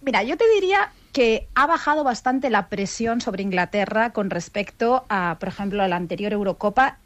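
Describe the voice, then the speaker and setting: A woman's voice: medium pitch, animated, moderate pace. A woman from giving a conversational live report as a radio correspondent.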